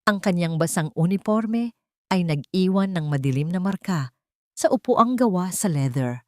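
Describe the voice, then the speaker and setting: Female audiobook narrator, Filipino accent, low pitch, dramatic scripted storytelling, very dry sound. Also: animated, moderate pace, mellow, dry recording.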